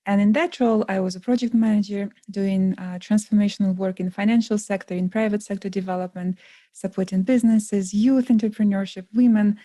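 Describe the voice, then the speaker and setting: A woman's voice: mid-range voice, fairly even intonation, brisk, mellow, no room sound. A woman from Ukraine with a Ukrainian accent, telling her story earnestly as a podcast guest in carefully phrased English. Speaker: podcast producer.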